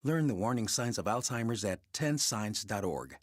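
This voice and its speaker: A man's voice: fairly low pitch, lively intonation, moderate pace, no echo, studio quality. A man narrating a scripted public service announcement.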